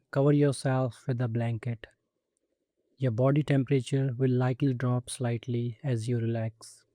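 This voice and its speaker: A man guides a relaxation meditation in a very warm, dark, relaxed voice at a medium pitch. His delivery is soothing and gentle, with space left between short instructions. The sound is dry and intimate. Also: no room sound. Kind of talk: guided body scan meditation.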